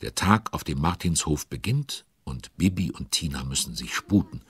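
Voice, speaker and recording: A man's voice: expressive, normal speed, exaggerated voice. A man narrating a children's audio drama as a chatty storyteller, playful and addressing listeners directly. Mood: playful storytelling. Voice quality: very deep, husky.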